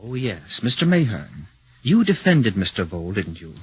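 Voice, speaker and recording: An actor playing a grave, sombre official in a 1940s radio drama. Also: male voice, fairly low pitch, very animated, normal speed. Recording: dull, dry 1940s radio recording.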